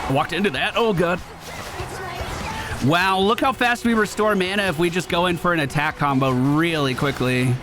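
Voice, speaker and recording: A man hosting a gaming video, giving upbeat running commentary on his own play. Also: high voice. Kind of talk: gameplay commentary. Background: video game music.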